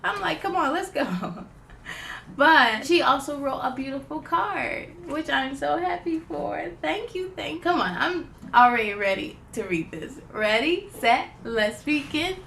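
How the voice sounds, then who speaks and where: A woman hosting a children's storytime, reading a picture book aloud in an excited, expressive, giggly way. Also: high-pitched, unhurried, vocal fry, a little hiss or hum.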